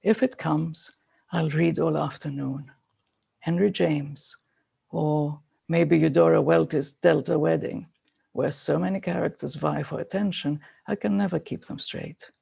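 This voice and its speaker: Man reading a poem, super crackly mellow voice, measured n reflective. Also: a little high, fairly even intonation, vocal fry, breathy edge. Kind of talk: poetry reading.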